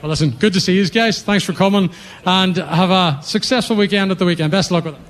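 High-voiced event host. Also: male voice, a bit bright. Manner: brisk, chatty MC. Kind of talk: live stage interviews. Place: stage at a rally event.

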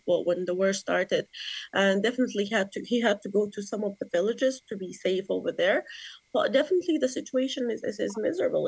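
Woman with a creaky voice. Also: fairly high pitch, darkish tone, no echo, low-quality recording. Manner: hesitant, non-native English phrasing. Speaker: interview guest. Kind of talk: personal account in an interview.

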